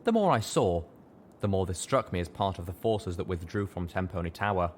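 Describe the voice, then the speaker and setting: Low-voiced male audiobook narrator. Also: lively intonation, brisk. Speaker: audiobook reader. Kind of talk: scripted first-person story narration.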